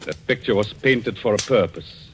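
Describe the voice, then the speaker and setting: Actor as a deep, menacing avenger in radio suspense. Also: male voice, a little hiss or hum, natural voice. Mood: accusing, deliberate. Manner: deliberate, theatrical, quietly menacing. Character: vengeful, coolly controlled man confronting a murderess. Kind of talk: 1940s radio suspense acting. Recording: old radio recording with music.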